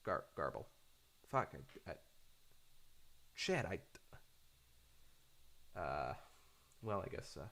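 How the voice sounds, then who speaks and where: A man with a low, creaky voice talks off the cuff like a fan channel host. The delivery is halting and repetitive as thoughts form, yet the pitch moves around in a lively way. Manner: hesitant, halting, repeats words as they think aloud. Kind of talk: casual unscripted rambling fan commentary.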